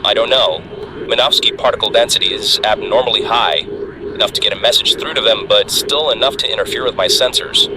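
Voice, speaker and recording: A man voicing a male patrol commander on a warship, making loud clipped radio calls. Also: lowish voice, brisk, background noise, natural voice. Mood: urgent.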